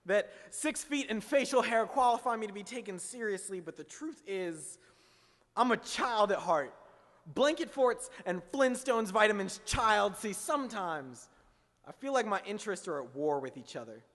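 A man's voice: high voice, animated, normal speed, fairly bright tone, in a large hall. A young man performing spoken word poetry on a small stage, speaking into a stand mic with rhythmic, emphatic delivery. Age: young adult. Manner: rhythmic, emphatic delivery with sweeping hand gestures. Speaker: slam poet. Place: small indoor stage in front of a window. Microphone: stand mic at mouth height.

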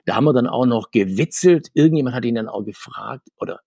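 A man's voice: mid-range voice, expressive, fast, creaky, dark tone, husky, dry recording, clean studio sound. A middle-aged man telling anecdotes as an interview guest, chatty and digressive, often restarting his sentences. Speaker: podcast guest, comics author.

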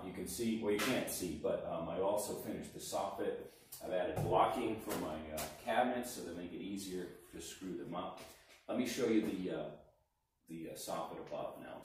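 A middle-aged man explains his renovation in a relaxed, plain way, full of small fillers. His voice sits low. It bounces around a bare, gutted room, heard from a camera that is sometimes a few steps away. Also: heavy reverb, far from the mic, a little hiss or hum. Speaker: home renovator. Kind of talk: casual walkthrough narration. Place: gutted house under renovation, indoors. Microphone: camera mic, selfie at arm's length and far camera.

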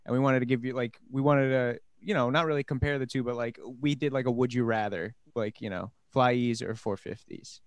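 A man's voice: mid-range voice, fairly even intonation, fairly quick, few pauses, clear, resonant tone, no room sound. Joking New Jersey man on a podcast. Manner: joking, teasing, bursts of loud laughter. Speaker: podcast co-host. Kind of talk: casual chat. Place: small home room. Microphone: handheld mic close to the mouth on a video call.

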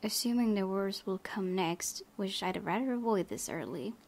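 A woman reading visual novel narration aloud as a let's play host, softly, with casual asides. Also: mid-range voice, normal speed.

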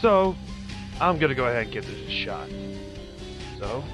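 A young man of middle pitch hosts a horror game playthrough, chatting upbeat with his viewers. Game music plays clearly behind his voice. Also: big pitch swings, moderate pace. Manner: upbeat, talks to viewers directly. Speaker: let's-play host. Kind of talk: casual game commentary, reading dialogue aloud.

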